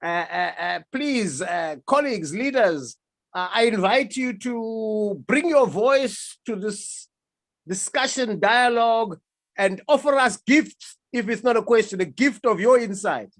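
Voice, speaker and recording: A woman hosting an online discussion, speaking in a measured, formal way and inviting others to join in. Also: medium pitch, lively intonation. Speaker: discussion host. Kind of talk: webinar moderating.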